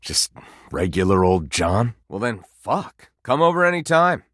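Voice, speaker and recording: A male actor playing a jaded, brooding, ordinary man in a video game, casual, weary and sardonic, with a low husky voice. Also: very animated, a little slow, fairly bright tone, dry recording, studio quality, exaggerated voice. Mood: wry, confessional. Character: jaded, brooding, foul-mouthed ordinary man, the candelabra's gruff alter ego. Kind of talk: video game character acting.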